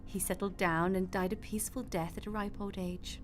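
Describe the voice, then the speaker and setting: A woman's voice: medium pitch, steady tone, moderate pace, over music, lightly acted. A woman in a radio drama playing God as a casual, matter-of-fact, wry mother figure. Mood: breezy, wry.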